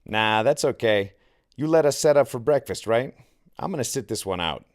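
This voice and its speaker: A man's voice: medium pitch, lively intonation, normal speed, pitched above the performer's own voice, natural voice. A man voicing a mocking, cocky older teenage boy in an audiobook, sarcastic and taunting. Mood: dismissive.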